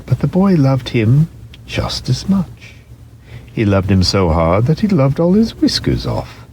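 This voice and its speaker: Slow, low, gravelly male storyteller. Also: slight background noise. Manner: expressive, deliberate storytelling. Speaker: storybook narrator. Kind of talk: dramatic scripted storybook narration.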